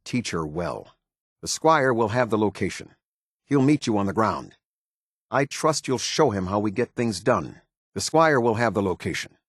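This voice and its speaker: An American man playing a stern, formal military officer in a video game, speaking with husky, clipped, measured authority. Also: lowish voice, animated, no room sound, studio quality. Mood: instructive, steady. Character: stern, formal male military officer of a post-apocalyptic order. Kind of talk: video game character dialogue.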